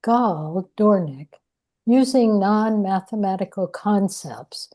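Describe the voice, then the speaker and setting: A woman's voice: mid-range voice, animated, takes it slowly, mellow. A woman giving a scripted reading of an encyclopedia entry.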